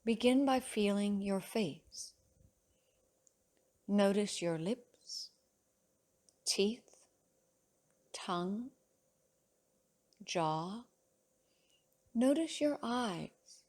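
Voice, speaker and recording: A woman yoga teacher leads a guided meditation at a very slow pace. Her mid-pitched voice is soothing and measured. She leaves long pauses between short phrases of gentle instruction.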